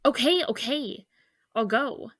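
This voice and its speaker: A woman playing a defiant, loyal young daughter in an audio drama, informal and quick to protest. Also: a little high, lively intonation, fairly bright tone, slightly rough, heavily acted. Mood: resigned, reassuring. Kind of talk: scripted audio drama acting.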